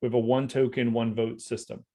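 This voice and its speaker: Guy talking through a startup pitch, mid-pitched, restarts a lot. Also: little pitch movement, a little fast, warm tone. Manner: explanatory, repeats words while thinking. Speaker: co-founder presenting. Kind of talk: online company update.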